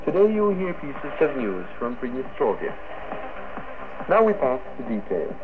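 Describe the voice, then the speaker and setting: A man formally reads a scripted news bulletin as a radio presenter, breaking his sentences into halting phrases. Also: medium pitch, sing-song, loud music behind the voice. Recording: noisy, narrow-band shortwave radio broadcast.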